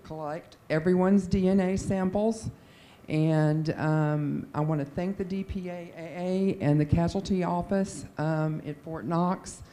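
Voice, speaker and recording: A woman's voice: lowish voice, mellow. A woman, cousin of a missing soldier, giving earnest, encouraging remarks at a family meeting. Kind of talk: open-mic remarks.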